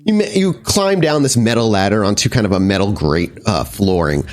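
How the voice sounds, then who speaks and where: Male game master, lowish animated voice, improvised horror scene-setting, light music bed. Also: moderate pace. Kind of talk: improvised descriptive game narration.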